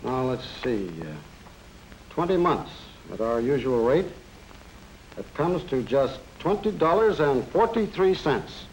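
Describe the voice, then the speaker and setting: A courteous, methodical male banker from a 1940s educational film. His mid-pitched voice moves up and down freely while staying formal and businesslike. A faint layer of old soundtrack noise sits over him. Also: animated, unhurried, rough recording. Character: courteous, methodical male small-town bank loan officer.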